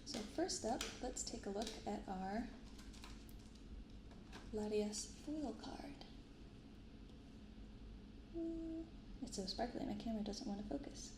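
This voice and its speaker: A soft-spoken woman hosting an unhurried ASMR-style unboxing, recorded in an untreated apartment room. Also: a little high, lively intonation. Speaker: host of a card unboxing channel. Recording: roomy untreated apartment, clear background noise.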